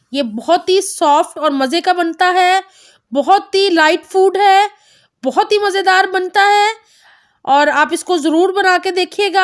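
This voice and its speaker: A woman narrating a home cooking recipe step by step, calmly naming each ingredient and measure. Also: very high voice. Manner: calm instructive, naming measures. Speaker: cooking channel host.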